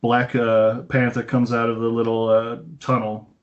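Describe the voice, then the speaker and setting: A man's voice: mid-range voice, completely flat delivery, unhurried. A man casually chatting as a podcast host, talking like an enthusiastic movie fan over an internet call. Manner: enthusiastic fan, acts out quoted lines. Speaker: film review podcast host. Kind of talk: casual chat, recounting a film's plot. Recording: dull lo-fi internet call audio.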